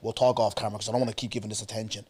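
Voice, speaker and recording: A man's voice: lowish voice, level tone, racing, a little mellow. A man hosting a casual podcast chat, affirming his guest in slangy, rambling talk. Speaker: podcast host.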